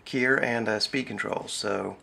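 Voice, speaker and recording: A middle-aged man with a gravelly voice giving a casual, halting hands-on demonstration at an indoor desk. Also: fairly low pitch. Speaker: amateur radio hobbyist demonstrating gear. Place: small indoor radio desk. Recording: steady noisy background hiss and ambience.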